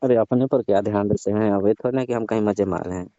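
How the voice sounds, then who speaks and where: A man's voice: lowish voice, quick pace, mellow, no echo, lightly acted. A man playing a nosy, suggestive father-in-law in a staged comic phone call. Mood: mildly exasperated. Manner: prodding, colloquial, mock-innocent. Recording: muffled, lo-fi, dry call recording.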